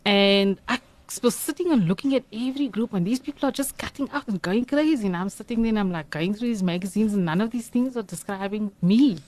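A South African woman in her forties, a radio studio guest, telling her story nervously and haltingly with many fillers and restarts. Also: medium pitch, darkish tone. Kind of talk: personal interview talk. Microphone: studio microphone.

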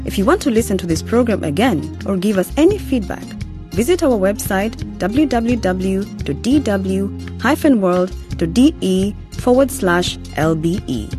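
Lady radio presenter reading a script, lively intonation, music playing behind. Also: mid-range voice. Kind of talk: scripted narration.

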